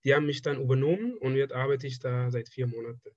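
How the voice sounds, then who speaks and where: A man's voice: medium pitch, a little mellow, no room sound, muffled recording. A young man in his twenties from Afghanistan whose first language is Persian, talking casually in an interview and often stopping to restart his sentences. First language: Persian (Dari). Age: 20s. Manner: halting, restarts phrases often. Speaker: interviewee, trained draftsman.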